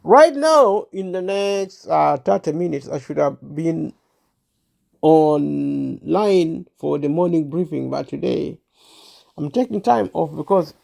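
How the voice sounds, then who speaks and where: Gravelly high-voiced older man, halting. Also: animated, a little mellow. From Uganda. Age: 60s. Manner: halting, many fillers and restarts. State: unwell, clears throat. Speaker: channel host. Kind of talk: casual talk to camera.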